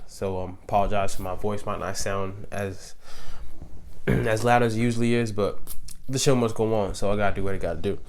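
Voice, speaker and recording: A man's voice: fairly low pitch, steady tone, normal speed, a little hiss or hum. A young man with a cold, sniffling, explaining astrology in loose, slangy casual talk with lots of fillers. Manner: laid-back, slangy and loose, many fillers. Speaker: astrology YouTuber.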